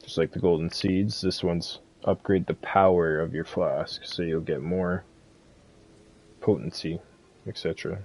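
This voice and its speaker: A man's voice: low voice, steady tone, measured pace, darkish tone. A man giving a casual, laid-back, rambling walkthrough of a video game as a streamer.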